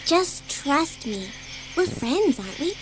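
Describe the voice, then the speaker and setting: High-voiced, sly imaginary girl, played by a woman. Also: sing-song, takes it slowly, noisy background, exaggerated voice. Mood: coaxing, secretive. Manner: coaxing, secretive. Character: imaginary girl friend come alive, possessive, sly, excited. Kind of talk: dramatised audiobook acting.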